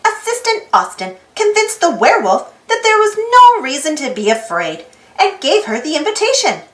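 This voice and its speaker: A woman reading a children's storybook aloud in an expressive, theatrical style as the host. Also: high-pitched, moderate pace. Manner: expressive, theatrical, exaggerated delivery. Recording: roomy echo with music behind. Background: music.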